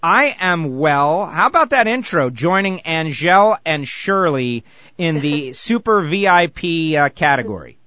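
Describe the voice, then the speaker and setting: A man hosting a sports radio show, eager and animated as he interviews a guest. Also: fairly high pitch, unhurried, dry recording, dull audio. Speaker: radio host.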